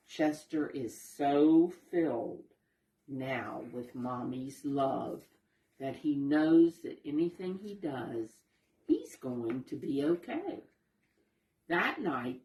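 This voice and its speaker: A woman reading a picture book aloud to young children, explaining the pictures simply and gently as she goes. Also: deep voice, recorded from a distance. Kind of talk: expressive read-aloud storytelling with asides to young listeners. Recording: roomy echo, voice away from mic.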